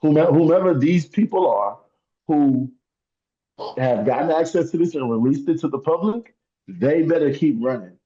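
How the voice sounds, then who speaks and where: A man's voice: fairly high pitch, lively intonation, normal speed, warm tone, highs cut off. A man talking heatedly in a casual podcast discussion, emphatic and laughing through his points. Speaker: podcast co-host.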